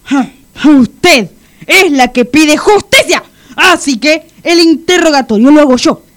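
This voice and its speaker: An actress with an Argentine accent playing a stern, bossy police sergeant, speaking with deliberate authority in a comic radio drama. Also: female voice, sits high, expressive. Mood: stern, insistent. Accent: Argentine Spanish.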